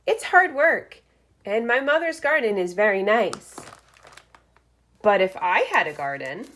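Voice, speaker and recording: Female read-aloud teacher for young children: expressive storyteller, unhurried pace, lively melodic range. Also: mid-range voice. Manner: expressive, deliberate storytelling with short phrases and asides. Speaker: teacher reading aloud to first graders.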